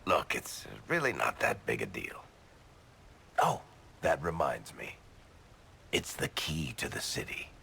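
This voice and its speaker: An actor playing a middle-aged roguish dwarf in a video game, wry and offhand with a gravelly voice. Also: male voice, extremely low pitch, huge pitch swings, takes it slowly, long pauses, pushed and bright, a little hiss or hum, exaggerated voice. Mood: playful. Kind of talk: video game dialogue acting.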